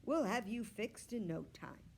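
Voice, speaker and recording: A woman reading a storybook, voicing a kindly father who talks gently and sympathetically, as if soothing a dog. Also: fairly high pitch, expressive, unhurried, voice pushed up. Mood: soothing, apologetic. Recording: clear background noise.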